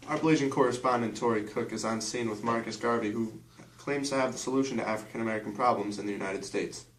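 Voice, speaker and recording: A man's voice: mid-range voice, fast. A man reading scripted news as co-anchor of an amateur newscast. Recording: echoey, noisy room, distant mic.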